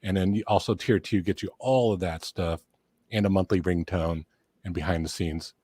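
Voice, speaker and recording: A man's voice: sits low, normal speed, mellow, breathy edge. A man on a casual live chat show, laid-back, explaining the stream's features step by step.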